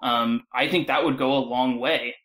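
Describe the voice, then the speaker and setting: A man's voice: mid-range voice, fairly even intonation, moderate pace, fairly bright tone. Blunt, casual male panelist. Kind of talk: casual discussion.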